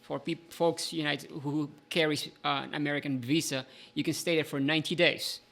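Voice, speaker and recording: A man in a casual podcast chat, speaking haltingly and restarting phrases. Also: on the high side, fairly even intonation, gravelly, fairly bright tone.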